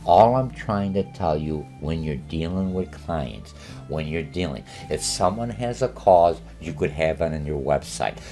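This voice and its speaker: A man's voice: a bass voice, expressive, measured pace, mellow, soft background music. An older man whose first language is Polish, a college marketing instructor giving an online course orientation in a rambling way with many filler sounds. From born in Germany, Polish parents.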